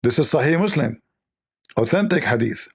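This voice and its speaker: A man with a voice worn hoarse, giving scornful, lecturing commentary on religious texts in non-native English. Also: mid-range voice, expressive, normal speed. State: losing his voice. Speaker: religious debate host. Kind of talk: polemical commentary reading texts.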